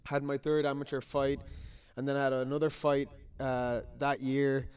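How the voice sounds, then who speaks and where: A young man raised in Ireland, a fighter chatting casually as a guest, with halting speech and lots of fillers. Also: medium pitch, level tone, darkish tone, smooth. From raised in Ireland, born in America. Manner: halting, many fillers and restarts. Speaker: guest, amateur MMA fighter. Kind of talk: casual interview chat.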